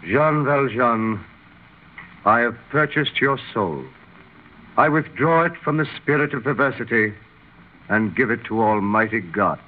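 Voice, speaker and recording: An actor playing a kindly, solemn old French bishop in 1930s radio style. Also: male voice, medium pitch, unhurried, long pauses, close to an everyday voice. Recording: muffled, band-limited 1930s radio recording.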